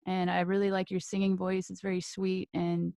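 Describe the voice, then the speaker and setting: A woman's voice: lowish voice, completely flat delivery, moderate pace, vocal fry, dark tone, no echo. A woman hosting a podcast interview, asking easygoing questions and complimenting her guest.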